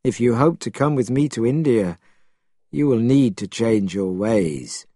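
A man voicing a gentle, sorrowful, morally stern young priest in a storybook, in a calm, admonishing manner. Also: medium pitch, measured pace, no room sound, studio quality. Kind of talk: storybook character voice.

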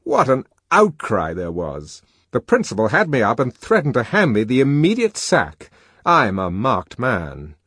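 A man's voice: medium pitch, a little slow, slightly rough, no room sound, studio quality, voice pushed up, full character voice. A man playing a buoyant, reckless male lecturer and poet in an audiobook, with an amused, emphatic way of talking. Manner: animated, emphatic, amused storytelling. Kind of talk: audiobook character dialogue.

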